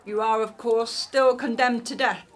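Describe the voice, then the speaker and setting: A woman's voice: on the high side, fairly even intonation, a little slow, lightly acted. A woman playing a ruthless, scheming Egyptian queen in an audio drama, with a stately, formal, commanding delivery. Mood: cold, commanding. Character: imperious, ruthless scheming Egyptian queen, first royal wife.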